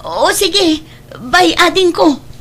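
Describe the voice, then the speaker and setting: A woman's voice: extremely high pitch, animated, takes it slowly, fairly bright tone, exaggerated voice. A woman with an Ilocano Filipino accent playing a guilty, evasive older sister in an audio drama. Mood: nervous, placating.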